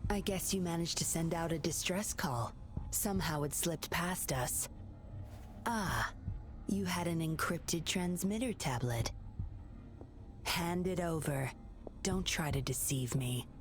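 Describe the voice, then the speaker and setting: A woman's voice: on the low side, slow pace, long pauses, soft background music. An actress playing a cool, sly, commanding female operative in a video game, with a controlled, taunting, menacing manner. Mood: controlled menace.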